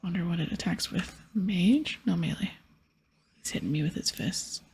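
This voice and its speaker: A woman giving casual, hushed ASMR commentary over a video game in a breathy whisper. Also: lowish voice, expressive, normal speed, a little hiss or hum. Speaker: host of a gaming ASMR series.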